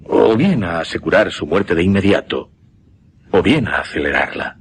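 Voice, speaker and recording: An actor playing an intense mesmerist who recounts a macabre experiment in a suspense radio drama, with a Castilian accent and deliberate, emphatic delivery. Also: male voice, sits low, expressive, a little hiss or hum. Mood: solemn, deliberate. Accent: Castilian Spanish.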